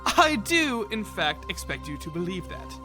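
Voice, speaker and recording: A man's voice: high-pitched, very animated, moderate pace, over music. A man playing a cocky, whiny male trickster in a comic audio drama, with exaggerated, smug and petulant delivery. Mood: smug insistence.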